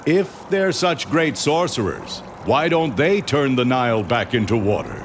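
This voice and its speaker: Man as stern, slow-spoken prophet with lively intonation. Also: mid-range voice, noisy background, lightly acted. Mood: challenging. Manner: commanding, firm. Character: Moses, stern, resolute male prophet confronting a king. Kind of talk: dramatized children's Bible audio story. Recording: loud music behind the voice. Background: music.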